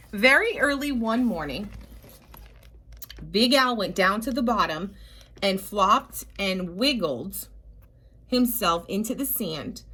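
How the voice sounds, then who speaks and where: A woman reading a picture book aloud to young children, with expressive drawn-out emphasis and chatty asides to her listeners. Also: medium pitch, slightly rough.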